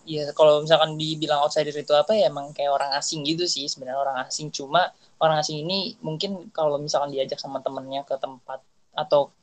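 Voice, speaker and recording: A man's voice: a little high, flat delivery, fast talker. A young man with a Jakarta Indonesian accent, chatting casually and slangily as a podcast co-host. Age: young adult.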